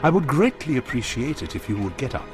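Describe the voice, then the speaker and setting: An actor playing a menacing, courteous crime boss in a radio drama, speaking formally and deliberately with threat underneath. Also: male voice, medium pitch, sing-song, loud music behind the voice, close to an everyday voice. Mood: suave menace.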